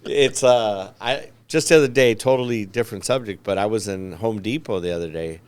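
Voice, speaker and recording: A man's voice: on the low side, brisk, darkish tone, clean tone. An American man hosting a podcast in a casual, informal chatty style. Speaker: podcast host. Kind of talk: casual chat.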